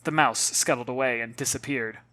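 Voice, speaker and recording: An author narrating a children's novel in an expressive, theatrical way, addressing listeners directly. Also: mid-range voice, a little fast, no room sound.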